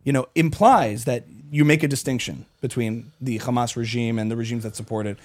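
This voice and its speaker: A man in an opinionated, animated conversation, arguing emphatically and piling clause upon clause. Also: medium pitch, a little fast. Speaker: podcast guest.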